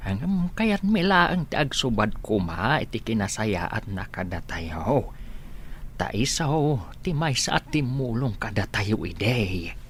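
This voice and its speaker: Actor as low, animated Filipino village man. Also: takes it slowly, a little hiss or hum. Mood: earnest. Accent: Ilocano Filipino. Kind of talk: Ilocano radio drama acting.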